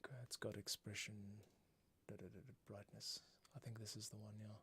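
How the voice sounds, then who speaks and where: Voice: mid-range voice, level tone, a little fast, slight background noise. A music composer giving casual live commentary while working, thinking aloud and muttering to themselves. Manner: muttering to self, humming filler syllables. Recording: loud music over the voice. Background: loud music from the project being scored.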